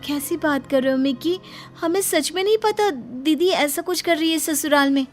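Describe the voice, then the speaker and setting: Woman voicing a sweet, high-pitched younger sister. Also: normal speed, soft background music, lightly acted. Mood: concerned, surprised.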